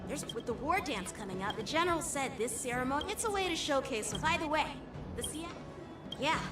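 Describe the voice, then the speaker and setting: Earnest, lively boy swordsman. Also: high voice, brisk. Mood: courteous. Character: polite, dutiful boy swordsman. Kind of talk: video game voice acting. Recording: loud music over the voice.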